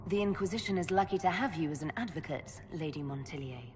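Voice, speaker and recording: A young female elf mage in a fantasy game, calm and sincere. Her voice is lowish and polite, carried in long hall reverb with slight ambience. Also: fast, slight background noise. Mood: curious. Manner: polite, even-tempered. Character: calm, earnest young elven woman mage, a leader. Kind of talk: video game cutscene acting.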